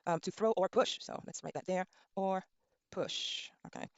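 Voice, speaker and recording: A middle-aged woman whose first language is Norwegian teaches a relaxed online language class. She thinks aloud, spells words letter by letter and fills pauses with ums. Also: on the low side, clean tone, no room sound, dull audio. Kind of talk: casual online lesson, thinking aloud while browsing.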